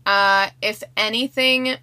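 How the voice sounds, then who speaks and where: A woman co-hosting a podcast in relaxed, earnest casual chat. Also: mid-range voice, slow pace, no echo. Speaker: podcast co-host.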